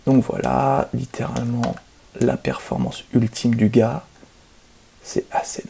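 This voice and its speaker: A man playing a boastful, excuse-making video game speedrunner in an ASMR roleplay, speaking softly and half-whispered with smug, hesitant phrasing. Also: medium pitch, little pitch movement, unhurried, heavily acted. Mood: defensive bragging. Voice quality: soft, breathy, half-whispered, creaky. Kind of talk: ASMR roleplay, soft gaming commentary.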